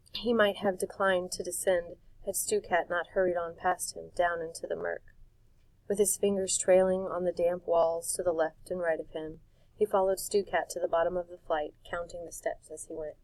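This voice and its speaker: A woman reading a storybook chapter aloud in a calm, measured way. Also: fairly low pitch, no echo, poor audio. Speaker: audiobook reader. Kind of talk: storybook narration.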